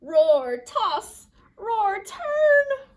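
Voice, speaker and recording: Woman playing a little young dinosaur for a children's storybook: high-pitched, excitable, boisterous roarer. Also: extremely slow, full character voice. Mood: roaring. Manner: shouted roars and short exclamations.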